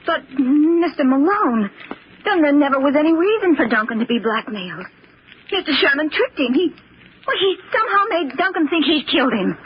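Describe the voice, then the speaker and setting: Anxious, earnest young heroine, vintage radio drama, hesitant pleading, dull sound with heavy noise. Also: female voice, high voice, sing-song, moderate pace. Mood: urgent, anxious. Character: frightened, earnest young woman protecting her troubled brother. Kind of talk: old-time radio drama acting. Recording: dull, noisy old radio recording.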